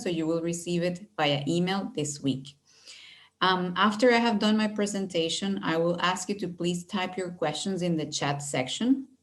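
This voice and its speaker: A middle-aged woman from Venezuela, a university recruitment director, presenting an online webinar over a video call, friendly and measured with many fillers. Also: on the low side.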